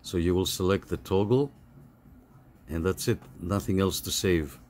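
A middle-aged man giving a casual, halting, step-by-step phone app tutorial over some background noise. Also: low voice, a little mellow. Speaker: tutorial host.

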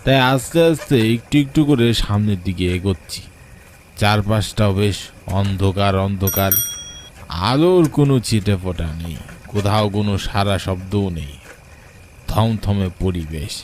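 A man's voice: on the low side, animated, moderate pace, slight background noise, natural voice. A man playing a weary, frightened village rickshaw puller, telling a cartoon ghost story in an anxious, suspenseful, wondering way. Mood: fearful, tense. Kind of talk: dramatic first-person horror story narration for a cartoon.

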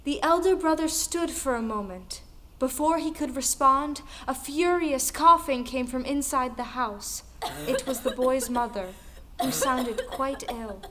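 A woman narrating a scripted folktale in an expressive, dramatic way. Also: high-pitched, measured pace, a little hiss or hum. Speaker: storyteller. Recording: music under the voice. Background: music.

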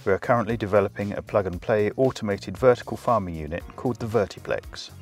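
Low-voiced man explaining in a promo. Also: fast. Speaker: company spokesman. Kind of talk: scripted explanatory promotional narration. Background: music.

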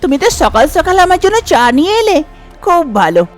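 A woman's voice: very high-pitched, big pitch swings, fast, faint music behind, pitched above the performer's own voice. A woman voicing a kindly older mother-in-law in a cartoon story, effusive and approving. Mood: pleased, approving.

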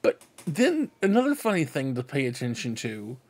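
A man's voice: mid-range voice, wildly swooping pitch, moderate pace, a little mellow. A middle-aged man hosting a fan vlog, giving casual show-and-tell commentary in a rambling, conversational way. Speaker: host of a fan book club vlog. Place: cluttered room indoors at home. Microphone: desk microphone close in front.

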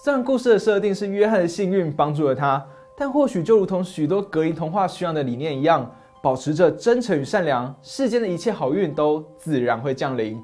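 A man in his thirties narrating a fairy tale and explaining it, steady and expressive. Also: on the high side, a little fast, hardly any pauses, faint music behind. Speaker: storyteller host. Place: dim study set, indoors. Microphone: lapel mic.

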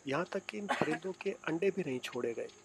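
A man giving measured, scripted documentary narration as a travel vlogger. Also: fairly high pitch, animated, creaky, background noise. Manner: measured, storytelling delivery.

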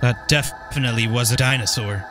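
A man's voice: medium pitch, fairly even intonation, brisk, over music. A man improvising game roleplay banter in a sassy, exclamatory, slangy way.

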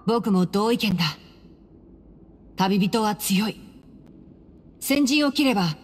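A clever young man, a forest ranger in an anime game. The voice is light and calm, speaking in a composed, matter-of-fact way. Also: mid-range voice, slow, long reverb tail. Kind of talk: anime-style game character acting.

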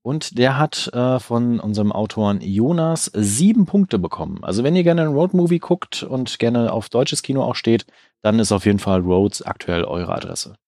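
A man co-hosting a casual film news podcast, speaking in a relaxed, affirming way and recommending titles. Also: medium pitch, normal speed, no echo, studio quality. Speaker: podcast co-host.

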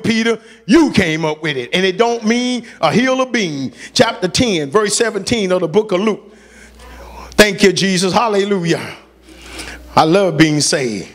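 A male preacher with a very high-pitched voice delivers a fiery sermon. He exhorts in plain colloquial speech, hammering phrases through repetition and moving between scripture and his own emphatic retellings. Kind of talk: fiery sermon with scripture readings and acted quotes.

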